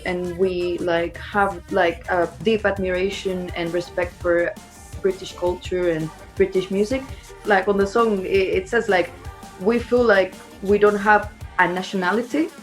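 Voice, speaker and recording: A woman's voice: lowish voice, fairly even intonation, measured pace, faint music behind. A woman chatting informally as an interviewed band member, in a loose, conversational way. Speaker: band singer and songwriter being interviewed. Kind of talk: casual chat.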